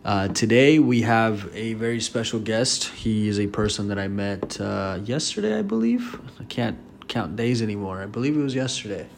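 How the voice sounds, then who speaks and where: A man's voice: on the low side, big pitch swings, moderate pace, creaky, a little hiss or hum. A man hosting a podcast, asking many curious questions and laughing easily in casual chat. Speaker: podcast host. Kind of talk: casual interview.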